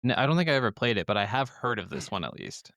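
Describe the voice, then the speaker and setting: A man's voice: fairly low pitch, lively intonation, fast talker, gravelly, fairly bright tone, no room sound, clean studio sound. A man co-hosting a gaming podcast in a casual, informal, agreeable way. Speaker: podcast co-host.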